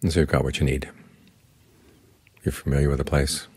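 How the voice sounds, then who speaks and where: An older man, a Buddhist monk, giving a slow, calm, plainspoken guided meditation talk. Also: very deep voice, slightly rough, dry recording. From United States.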